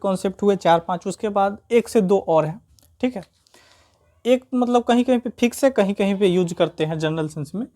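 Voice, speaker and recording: Mellow Indian woman teaching grammar. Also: medium pitch, moderate pace. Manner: repetitive step-by-step explaining, frequent check-in tags. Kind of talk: informal explanatory grammar lesson.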